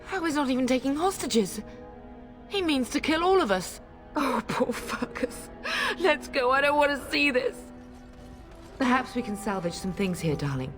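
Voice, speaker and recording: A woman's voice: high-pitched, sing-song, normal speed, fairly bright tone. A woman playing a middle-aged noblewoman mother in a fantasy video game, commanding, earnest and formal, fierce in her grief. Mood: grief, horror, then sorrowful. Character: fierce, grieving noblewoman mother of a besieged castle. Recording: dramatic music behind.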